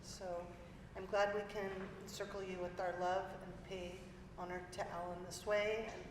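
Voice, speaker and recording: A woman hosting a memorial lecture, introducing speakers in a gentle, slightly hesitant way. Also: medium pitch, flat delivery, moderate pace. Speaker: event host. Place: lecture hall. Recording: echoey hall from far off with noise.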